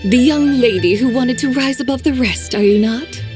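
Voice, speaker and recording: A woman playing a serene, eloquent immortal in a video game, speaking in a formal, poetic, measured manner. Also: high voice, music in the background, close to an everyday voice. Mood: composed, frank.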